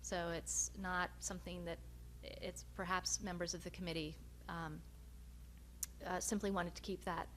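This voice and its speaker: A woman researcher giving a research presentation, speaking in a measured, hesitant way with frequent pauses. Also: fairly low pitch, flat delivery, fairly bright tone, poor audio. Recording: noticeable background hum and noise.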